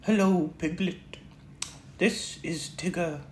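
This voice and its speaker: A reader doing a gentle, simple bear. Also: mid-range voice, natural voice. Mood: mild. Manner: mild, patient, slow. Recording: roomy echo, faint background noise.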